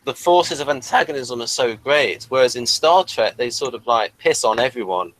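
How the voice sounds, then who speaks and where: A man's voice: medium pitch, animated, moderate pace, a bit bright, poor audio. A man hosting a casual podcast review, thinking aloud in a hesitant way. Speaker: podcast host. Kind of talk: casual chat reviewing a TV episode.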